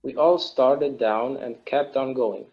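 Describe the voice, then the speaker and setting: Guy acting as a thoughtful immortal man in a play reading, halting n earnest, mid pitch, kinda lo-fi muffled sound. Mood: wistful, solemn. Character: wistful, reflective immortal man confiding in his partner. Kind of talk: naturalistic dramatic table read. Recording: slightly dull, lo-fi sound.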